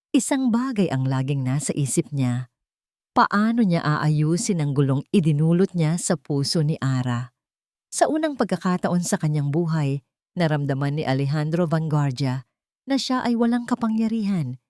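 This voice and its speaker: Low-voiced woman narrating romance, dry sound. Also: warm tone, dry recording. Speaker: story narrator. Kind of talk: scripted dramatic romance narration.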